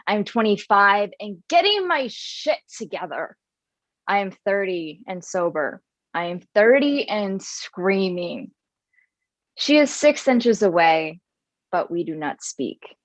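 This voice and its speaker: A woman performing spoken word poetry at an open mic, reciting in a measured, deliberate way. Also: medium pitch, big pitch swings, no room sound. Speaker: poet performer. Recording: dull lo-fi sound.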